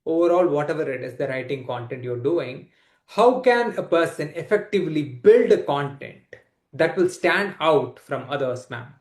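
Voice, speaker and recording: Host: effusive man interviewing a guest, slightly high voice, roomy echo. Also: expressive, moderate pace. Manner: enthusiastic, effusive, calls guest ma'am. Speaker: podcast host. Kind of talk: interviewing, eager praise. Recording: echoey room.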